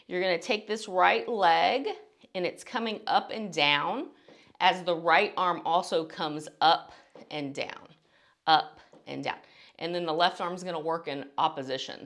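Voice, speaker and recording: A woman's voice: fairly low pitch, a bit bright. A woman teaching a beginner dance tutorial step by step, encouraging and counting beats aloud between instructions.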